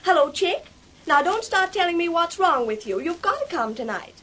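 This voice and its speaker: A well-to-do young bride-to-be in a vintage radio serial. Her voice is high and fretful, with an American accent, and she orders people about in flustered exclamations. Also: sing-song, moderate pace, fairly bright tone, full character voice. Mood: pleading, hurried. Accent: put-on American. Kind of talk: 1940s radio soap acting.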